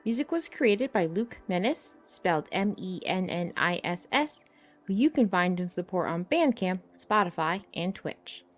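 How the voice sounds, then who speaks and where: A woman's voice: on the low side, animated, a little slow. A woman reading scripted end credits for a fiction podcast. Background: faint music.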